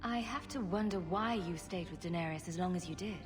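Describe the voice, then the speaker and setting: Wry, direct heroine played by an actress. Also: female voice, lowish voice, fairly quick, natural voice. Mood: probing. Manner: questioning, dry. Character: wry, probing heroine mage, adult woman, direct and dry. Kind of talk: dramatic video game acting. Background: music.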